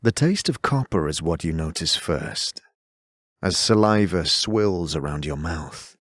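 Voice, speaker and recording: A man narrating a fantasy audio drama as game master, in a measured, deliberate and dramatic storytelling delivery. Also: low-pitched, expressive, a bit bright, no room sound, studio quality. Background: faint music.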